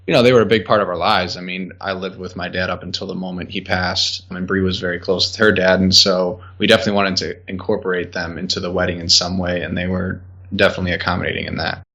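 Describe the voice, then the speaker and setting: A man's voice: low-pitched, little pitch movement, quick pace, sharp tone. A man casually recounting memories as a guest, chatty and peppering his talk with "like". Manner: chatty, uses "like" often. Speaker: podcast guest, groom recalling his wedding. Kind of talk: casual conversational recollection. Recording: dull lo-fi voice recording.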